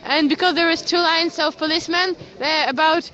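A young Ukrainian woman with a Ukrainian accent, streaming live from a cold city street in halting English with many restarts, speaking into a phone. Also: extremely high pitch, fairly even intonation, sharp tone. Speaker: citizen journalist. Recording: lo-fi phone stream with crowd around. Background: crowd of protesters.

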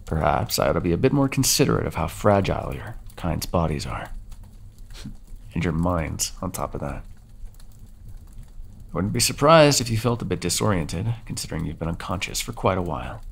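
A man's voice: very animated, moderate pace, heavily acted. A man voicing a centuries-old male vampire in an ASMR audio roleplay, taunting and mocking the listener he calls dearie. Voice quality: low gravelly voice, husky.

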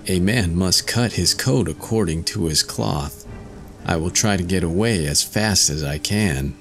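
A man's voice: deep voice, unhurried, faint music behind. A man voicing a boastful but timid little male tailor, talking in a raised, strained, whimpering way. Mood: nervous.

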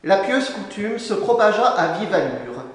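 A young man preaches from a lectern in a large church, his voice set high for a man. He reads in solemn, measured phrases. The sound rings with a very strong, long reverb from the stone hall. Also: very animated. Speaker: canon preacher. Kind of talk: formal sermon read from a lectern.

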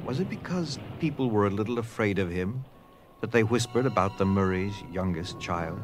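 A man's voice: fairly low pitch, animated, moderate pace. A man reading scripted narration for an audio drama, telling the story dramatically.

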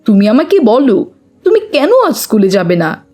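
High, lively, earnest cartoon schoolboy. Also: female voice, moderate pace. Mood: questioning, insistent. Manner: earnest, preachy. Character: pious, earnest schoolboy who admonishes his friend. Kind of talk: children's moral cartoon dubbing.

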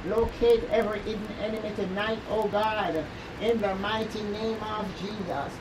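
A woman leading fervent prayer, raspy-voiced, with intense repeated declarations and speaking in tongues. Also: medium pitch, fairly even intonation, moderate pace. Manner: intense, repetitive prayer, breaks off to check audio. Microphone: phone or earpiece mic. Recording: distant mic with clear background noise.

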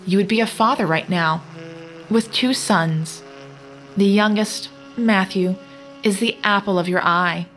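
Actress as accusing dead soldier woman in an audio drama, slow and relentless, music underneath. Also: mid-range voice, a bit bright, lightly acted. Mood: cold, haunting. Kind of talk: scripted audio drama acting.

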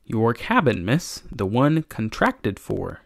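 A man's voice: medium pitch, huge pitch swings, slow pace, creaky, dry recording, clean studio sound, exaggerated voice. A man voicing a curt, coolly polite ship's officer in an audiobook, clipped and matter-of-fact. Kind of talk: audiobook character voice.

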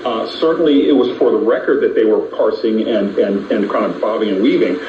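A man's voice: fairly low pitch, fairly quick, echoey room, lo-fi sound. A man giving conversational political analysis in an interview, heard over noisy shortwave radio. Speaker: interviewed commentator.

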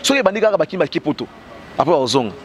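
A man's voice: fairly high pitch, very animated, fast, a little hiss or hum. A man in his thirties with a Congolese French accent and a cold, talking passionately and emphatically with many fillers in an outdoor street interview into a handheld mic. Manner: passionate, emphatic, heavy "bah" fillers, gestures while talking. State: has a cold. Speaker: interview guest. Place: outdoors on a street by parked cars. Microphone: handheld mic with fur windscreen held by interviewer.

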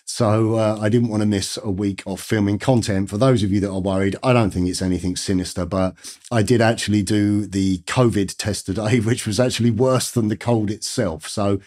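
English man in his fifties, croaky with a cold. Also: fairly low pitch, steady tone, moderate pace, a little mellow. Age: 50s. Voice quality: gruff. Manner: chatty, rambling, enthusiastic with fillers. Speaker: guitar teacher. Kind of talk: casual guitar lesson talk to camera. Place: dark studio indoors.

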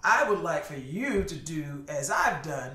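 A middle-aged male pastor delivers a sermon in an earnest, preacherly way, his voice sitting a little higher than most men's. He speaks from a home study to a camera on the desk, and the room gives the sound a noticeable echo. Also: very animated, measured pace, sharp tone. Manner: earnest, preacherly, with repeated words and rising emphasis. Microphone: camera at desk, head and shoulders framing.

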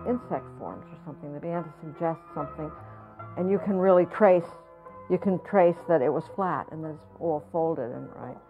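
A woman's voice: low-pitched, narrow, tinny audio. An older woman, a potter, talking reflectively in an interview, often searching for words and repeating herself. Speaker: potter and art school founder. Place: studio or home with pottery shelves.

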